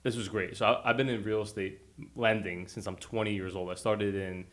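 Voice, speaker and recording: A man in his thirties hosting a podcast, talking in a casual, chatty way with quick interjections. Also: fairly low pitch, steady tone. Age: 30s. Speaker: podcast host.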